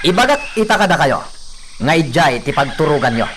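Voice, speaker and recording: A cruel, swooping high-voiced young master. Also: male voice, very animated, brisk, over loud music. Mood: commanding. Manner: gloating, domineering, laughing menacingly. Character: cruel young master lording over mine workers. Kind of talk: radio drama acting.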